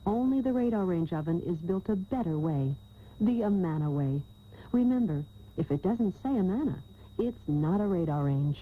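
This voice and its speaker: A woman's voice: on the low side, animated, normal speed, husky, narrow, tinny audio. An American woman announcing a scripted commercial on an old radio broadcast tape. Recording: phone-thin old radio tape, faint hiss.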